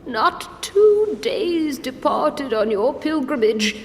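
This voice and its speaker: An actor playing a stern, disapproving older priest, formal and reproving, in a fantasy audio drama. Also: male voice, extremely high pitch, very animated, slow, full character voice. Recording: echoing hall, faint noise.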